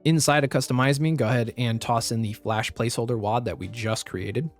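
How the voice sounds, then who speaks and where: A man with a slightly raspy voice, recovering from the flu, giving a step-by-step software tutorial as a voice-over. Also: mid-range voice, fairly even intonation, brisk, soft background music. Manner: step-by-step instructive, plain and practical. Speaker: tutorial host. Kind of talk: screen-recorded software tutorial.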